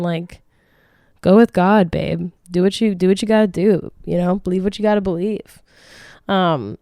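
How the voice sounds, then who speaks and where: A woman in her late twenties hosts a casual question-and-answer podcast, talking in a frank, rambling way that is full of laughter and restarts. Her voice sits a little low and sounds mellow and smooth. It is recorded close up in a dry, dead room. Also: dry recording. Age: late 20s. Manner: chatty, frank, swears freely, laughs often, many restarts. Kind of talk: casual chat.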